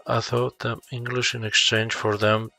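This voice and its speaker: A man's voice: mid-range voice, flat delivery, moderate pace, bright, forceful tone, no room sound, soft background music. A man chatting casually and telling anecdotes in a laid-back way, in short broken phrases.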